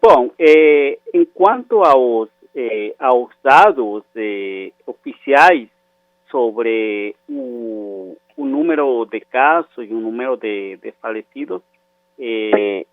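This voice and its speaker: A man from Venezuela with a Spanish accent, a professor interviewed by phone, haltingly searching for words. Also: mid-range voice, lively intonation, gravelly, no echo. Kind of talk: explanatory interview answers. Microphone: phone call. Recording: muffled phone line.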